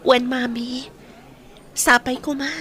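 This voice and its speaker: Radio drama: woman as hurt young Ilocano daughter, distinctly high pitch. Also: little pitch movement, slow, sharp tone, full character voice. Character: young daughter, wounded by past ridicule of her looks.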